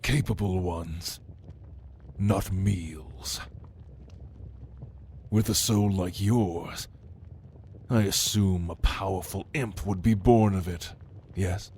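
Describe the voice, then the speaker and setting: A deep-voiced, prideful demon played by a man speaks slowly and deliberately, with mocking menace. His murmurs slip toward whispering in an intimate ASMR roleplay, over noticeable background noise. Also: a little mellow. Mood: calculating, menacing. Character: sinister, prideful male demon, a prince's cunning right hand. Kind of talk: ASMR roleplay monologue to the listener.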